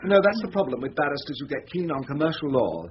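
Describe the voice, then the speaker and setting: An actor playing a pompous, flirtatious middle-aged barrister with an upper-class English accent, oily and gushing, in a radio comedy-drama. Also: male voice, on the high side, natural voice. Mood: coaxing, flattering. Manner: oily, gushing, drawn-out flattery. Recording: dull lo-fi radio recording, background noise.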